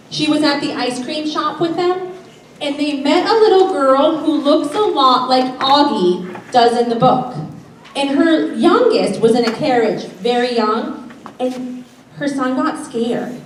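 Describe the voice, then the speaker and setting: A woman teacher addressing young children before a read-aloud, asking simple questions and explaining deliberately. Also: high voice, recorded from a distance. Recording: echoey room from afar, faint noise.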